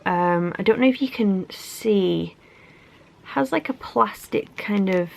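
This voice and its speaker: A woman's voice: medium pitch, gravelly, a little hiss or hum. A young woman from England with a stuffy, congested cold, chatting casually through an unboxing with lots of likes and ums. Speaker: beauty vlogger.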